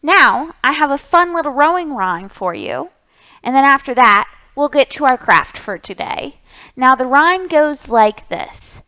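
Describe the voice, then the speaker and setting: A woman's voice: sits high, expressive, a little slow, sharp tone, low-quality recording. A woman hosting a children's storytime, reading aloud and explaining things in an encouraging way, speaking directly to young listeners. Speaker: library storytime host.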